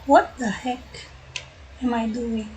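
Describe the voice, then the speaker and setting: High-voiced woman streaming a game. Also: fairly even intonation, takes it slowly, background noise. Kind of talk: casual live game stream commentary.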